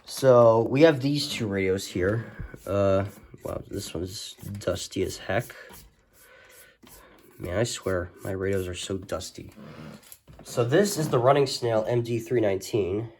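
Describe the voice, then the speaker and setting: A man's voice: lowish voice, a little slow, darkish tone. Hesitant man rambling over a noisy recording. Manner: hesitant, rambling, self-correcting. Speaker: host of a radio collection video. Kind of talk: casual unscripted show-and-tell. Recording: noisy home recording with clicks.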